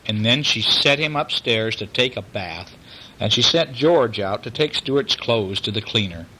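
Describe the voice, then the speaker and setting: A man with a bright voice reads a bedtime story aloud. He is expressive and explains things simply to a young child, with faint noise in the background. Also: lowish voice, unhurried, poor audio. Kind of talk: bedtime story reading aloud, with chatty asides.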